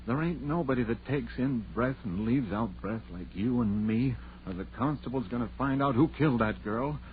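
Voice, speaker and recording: A man's voice: mid-range voice, normal speed, lightly acted. An actor playing a superstitious old country man in a radio horror drama, folksy, hesitant and stubbornly insistent. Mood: grimly insistent. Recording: tinny, noisy vintage radio recording.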